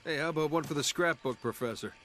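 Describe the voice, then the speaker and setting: Man playing a high-voiced, brash army sergeant. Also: fast, slight background noise, lightly acted. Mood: cocky. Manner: brash, informal. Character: cocky, stubborn human military sergeant. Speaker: soldier. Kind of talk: video game cutscene acting. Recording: loud music over dialogue.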